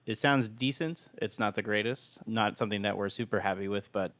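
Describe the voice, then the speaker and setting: A man with a fairly low voice narrates an audio drama podcast. The recording is dry and close, with little room sound. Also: a little fast, a bit bright, no echo.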